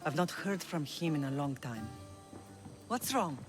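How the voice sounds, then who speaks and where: A woman's voice: deep voice, expressive, measured pace, over music. A woman playing a grave, firm middle-aged mother who leads an ancient Greek island in a video game. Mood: guarded, grave. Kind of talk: video game cutscene acting.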